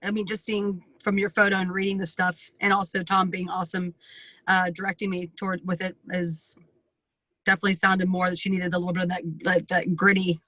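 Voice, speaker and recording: A woman from Florida, a voice actor with a deep, gritty voice, chatting and joking as a guest. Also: flat delivery, a little fast, bright, forceful tone, no room sound, low-quality recording. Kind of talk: casual self-introduction.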